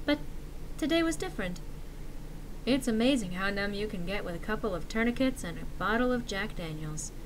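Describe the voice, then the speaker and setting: A woman narrating horror stories theatrically, with deliberate dramatic pauses, as a dramatic reading. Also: medium pitch, fairly bright tone, slight background noise, rough recording.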